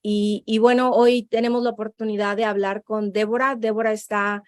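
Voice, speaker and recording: A woman's voice: mid-range voice, flat delivery, normal speed, no echo, studio quality. A woman hosting an interview, restating and summarizing her guest's answers. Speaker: interview host.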